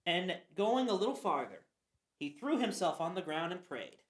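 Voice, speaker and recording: A woman's voice: on the low side, big pitch swings, moderate pace. A woman narrating scripture for a church passion play reading. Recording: roomy echo.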